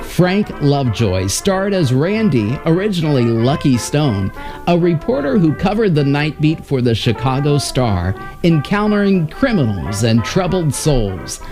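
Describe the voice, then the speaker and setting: A man's voice: a little high, big pitch swings, measured pace. A man reading scripted promotional narration as the presenter of a radio history programme. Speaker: presenter of old radio episodes. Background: loud music.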